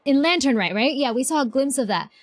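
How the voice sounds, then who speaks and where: A woman's voice: sits high, lively intonation, fast talker, dry recording. A woman streaming a game, chatting casually and effusively, repeating herself as she reacts. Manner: effusive, repeats herself, talks back to characters. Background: game music.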